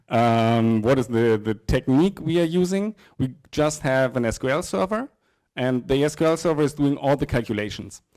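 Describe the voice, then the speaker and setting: Middle-aged man presenting, halting and informal. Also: mid-range voice, darkish tone, no room sound. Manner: informal, halting, with repeated words. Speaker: software presenter. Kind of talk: live technical presentation. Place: indoor presentation room with small audience. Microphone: handheld microphone.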